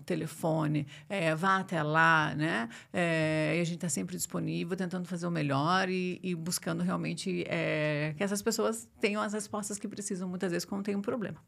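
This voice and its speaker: A woman in her forties speaks in a fairly low register with a clearly creaky edge. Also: a bit bright. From Curitiba, southern Brazil (born in Argentina). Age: 40s. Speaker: labour lawyer, interview guest. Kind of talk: casual interview conversation.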